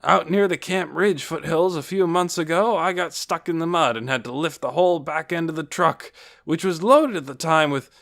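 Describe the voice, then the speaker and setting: The big boastful brother of a children's audiobook, booming his tall tales across the farmyard, pitched up and hearty, as a male narrator plays him. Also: normal speed, vocal fry. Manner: loud, boastful, self-repeating.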